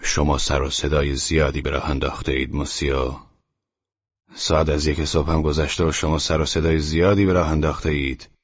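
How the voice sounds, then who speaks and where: A man's voice: a bass voice, little pitch movement, husky. A man voicing a tall, gaunt, indignant neighbor who scolds with formal menace in an audiobook. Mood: threatening. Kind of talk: audiobook character voice. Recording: dull, muffled, echoing room recording.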